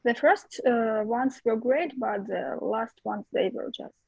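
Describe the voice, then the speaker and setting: Husky, halting woman. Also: fairly high pitch, darkish tone, no echo, muffled recording. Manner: hesitant, halting with fillers. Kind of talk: casual group chat in English.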